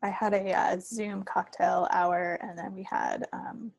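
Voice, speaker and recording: A woman chats casually as a podcast co-host. Her voice is rough-edged and husky, dropping into a gravelly creak, and recorded very dry. Her manner is playful and wry. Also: mid-range voice, level tone, measured pace, no room sound. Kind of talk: casual chat.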